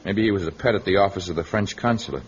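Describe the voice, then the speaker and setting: A man's voice: low-pitched, quick pace, slight background noise, close to an everyday voice. A man playing a confident, good-humoured jungle hero in an old radio drama. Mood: amused, teasing. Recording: muffled vintage radio recording.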